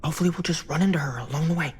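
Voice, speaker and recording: A wisecracking young slacker in a horror game. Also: male voice, on the high side, very fast, slightly rough. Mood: wry. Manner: slangy, profane, joking. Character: wisecracking young slacker guy, loose and sardonic. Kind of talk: cinematic horror game acting.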